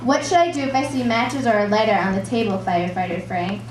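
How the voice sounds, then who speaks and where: A woman's voice: medium pitch, quick pace, natural voice. Woman playing a curious young puppet, echoey. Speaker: puppeteer in a fire safety puppet show. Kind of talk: scripted children's puppet show dialogue. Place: live show in a room, recorded from the audience. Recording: roomy, distant, noisy live show recording.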